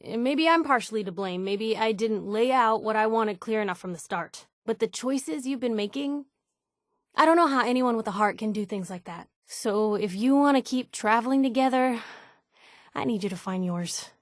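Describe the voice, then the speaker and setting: An actress voicing a plucky young woman reporter in a video game, wry, colloquial and expressive, with a conscience behind the wisecracks. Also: on the high side, moderate pace, no room sound, studio quality, lightly acted. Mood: earnest, troubled. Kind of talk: video game companion voice lines.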